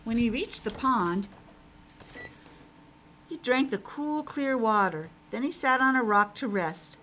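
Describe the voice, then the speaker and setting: A woman's voice: a little high, lively intonation, slow pace, a bit bright, a little hiss or hum, low-quality recording. A woman teacher reading a picture book aloud to young children, expressively, with simple asides to her listeners.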